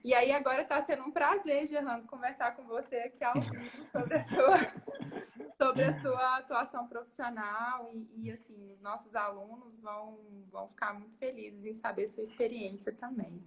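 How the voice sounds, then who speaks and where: A Brazilian woman, a university lecturer, hosting a relaxed live interview, friendly and quick to laugh. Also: a little high, noisy background. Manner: warm, giggly, thankful.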